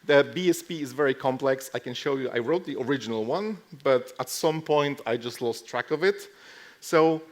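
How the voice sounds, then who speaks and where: A man speaking casually at a conference as he gives a short tech talk, with dry, deadpan joking asides. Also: medium pitch, normal speed. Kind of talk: casual lightning tech talk with live demo. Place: live talk to a conference audience.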